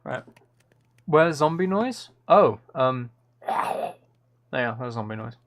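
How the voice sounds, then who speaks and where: A man with a creaky voice chats casually as a live streamer while gaming, half talking to himself and half to his viewers. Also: medium pitch, expressive, slow pace. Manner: muttering to himself between replies to chat. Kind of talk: casual chat while playing a video game on a livestream. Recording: faint noise with keyboard clicks.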